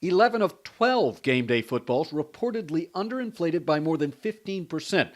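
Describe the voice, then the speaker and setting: A man's voice: fairly high pitch, expressive, normal speed. An American man narrating a scripted television news package as a correspondent.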